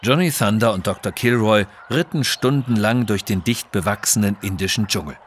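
A man's voice: lowish voice, normal speed, slight background noise. A man narrating a scripted children's adventure story.

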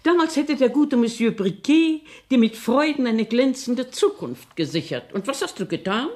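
A woman's voice: fairly high pitch, expressive, normal speed, a little mellow, lightly acted. An actress playing a strict, fussy old grandmother in a German radio play, scolding and bossy with emphatic theatrical delivery.

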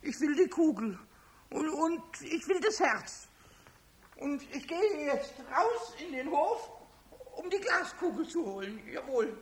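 A man voicing a cheeky, stubborn little kobold in a children's radio play, squeaky and raspy, with a whiny, insistent manner. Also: very animated, unhurried, full character voice.

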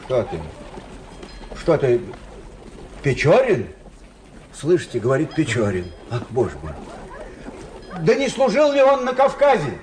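An actor playing a kindly older army staff captain with folksy, familiar speech and excitable outbursts in a radio play. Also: male voice, fairly high pitch, measured pace, pauses often, a little hiss or hum. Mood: amazed, agitated.

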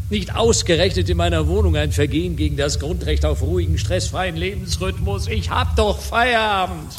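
A man's voice: on the high side, noisy background. An actor playing an indignant, pompous man who declaims his complaints in a radio play. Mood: dismayed. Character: indignant, pompous male citizen, easily outraged.

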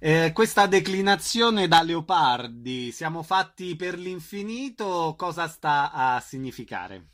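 A man's voice: fairly high pitch, lively intonation, takes it slowly, few pauses, a bit bright. A man speaking as a radio host in a conversational interview.

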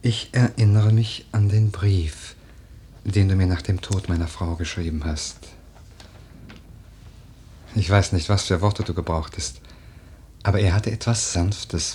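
A man playing a grave, melancholy noble widower in a German literary radio play, earnest and deliberate in speech. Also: low voice, steady tone, darkish tone, slight background noise. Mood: grave, pensive. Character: grave, melancholy noble widower, tender toward his cousin.

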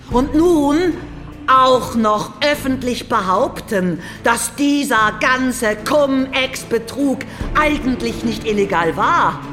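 An actress playing an outraged, idealistic young journalist making a theatrical, impassioned plea in a radio play. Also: female voice, a little high. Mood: earnest, indignant. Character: outraged young journalist woman, passionate idealist pleading to a courtroom. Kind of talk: German radio play monologue. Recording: reverberant hall, music underneath. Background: music.

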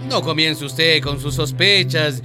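An actor in a Latin American radio drama playing a smooth-talking, boosterish town mayor who stammers evasively and chuckles. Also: male voice, fairly high pitch, slow, music in the background. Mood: placating, salesmanlike. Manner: hesitant stammers, chuckling, pompous salesmanship.

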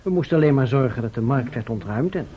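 A man's voice: medium pitch, animated, fast, lightly acted. An actor playing a polite, assured man from the future, precise and formal in a Dutch radio drama. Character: polite, assured male time traveller from the future. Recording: muffled, noisy old radio play recording.

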